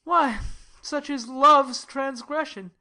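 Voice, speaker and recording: A woman's voice: high voice, takes it slowly, pushed and bright, heavily acted. A woman playing a lovesick, brooding young nobleman in a dramatic Shakespearean reading, with a sighing, melodramatic delivery. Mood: anguished, passionate.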